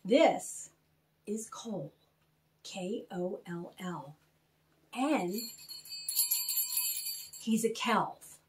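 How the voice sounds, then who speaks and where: Female children's read-aloud host, animated and expressive, unhurried, swooping intonation. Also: mid-range voice, a little hiss or hum. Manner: animated, expressive, spells out names slowly. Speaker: author reading own children's book aloud. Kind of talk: storytelling read-aloud, chatty asides to young listeners.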